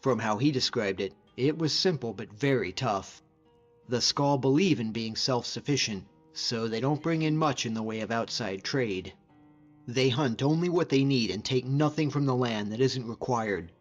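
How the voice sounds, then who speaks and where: A man's voice: mid-range voice, lo-fi sound, natural voice. A man voicing a hardy, easygoing hunter and frost mage as a fantasy game companion, talking informally and sincerely in a reflective, plain-spoken way. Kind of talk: conversational scripted game character dialogue.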